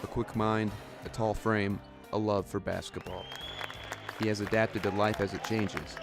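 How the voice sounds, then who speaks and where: A man narrating a sports feature as student reporter, in measured, dramatic phrases. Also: on the low side, level tone, vocal fry, music in the background, crowd noise. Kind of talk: scripted narration.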